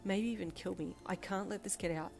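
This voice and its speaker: A woman reads a frightened teenage girl who keeps her fear to herself. The voice is soft and hushed, almost whispered, with quiet music behind. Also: medium pitch, measured pace, creaky. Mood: panicked, hushed. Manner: hushed, shaky inner thoughts.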